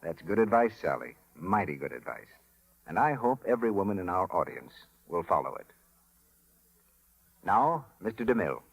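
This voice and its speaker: A man's voice: sits low, sing-song, moderate pace, breathy edge, dry recording. An American man working as a 1930s radio announcer, delivering scripted sponsor patter in a genial, polished sales manner. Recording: vintage 1930s radio broadcast recording.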